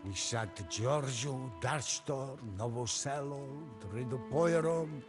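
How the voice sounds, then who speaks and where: A man voicing a grim, haunted elderly war veteran who speaks in a deliberate, brooding mutter. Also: mid-range voice, lightly acted. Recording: music behind the voice.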